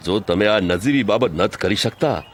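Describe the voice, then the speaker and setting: A man with a Kathiyawadi Gujarati accent playing Jesus as a calm, authoritative teacher in an audio drama, speaking in a measured, earnest way. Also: on the low side, a little hiss or hum, close to an everyday voice. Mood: earnest teaching. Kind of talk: audio drama acting, preaching.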